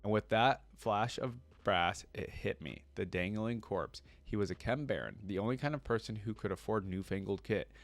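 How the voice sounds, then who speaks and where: A man's voice: lowish voice, moderate pace, few pauses. A man hosting a reaction video, reading a story aloud and commenting casually as a fan. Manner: informal slangy fan talk.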